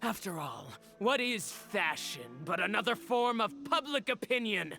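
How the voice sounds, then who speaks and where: Casting: a jaded, mocking villain for an anime game; high-pitched, theatrical, self-important. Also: male voice, lively intonation, unhurried, creaky, bright, forceful tone, soft background music, exaggerated voice. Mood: scornful. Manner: mocking, pompous, drawling. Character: bitter, sneering male villain, a jaded fashion rival.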